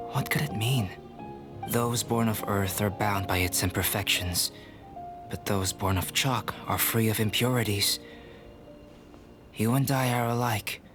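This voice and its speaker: A man voices a young scholarly alchemist in an anime-style video game. His voice sits fairly low, and he speaks calmly in formal, measured, contemplative phrases. Music plays clearly behind him. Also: close to an everyday voice. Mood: pensive.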